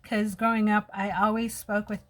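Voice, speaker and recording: A woman's voice: medium pitch, little pitch movement, normal speed. A woman in her thirties with a slight lisp, chatting casually and openly to her viewers while doing her makeup. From United States. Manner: chatty, open and personal. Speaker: vlogger.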